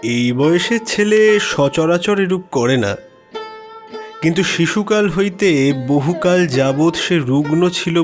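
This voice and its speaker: A male storyteller reads literary prose in a slightly high voice. His delivery is very unhurried, measured and expressive, and music plays clearly behind him. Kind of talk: dramatic scripted narration of a classic literary story.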